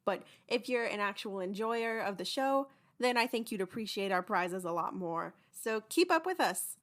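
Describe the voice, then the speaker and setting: A woman's voice: medium pitch, a bit bright. Casual young woman hosting, mid-range voice. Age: young adult. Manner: chatty, informal. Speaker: host and producer of an audio drama podcast. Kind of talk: casual listener update.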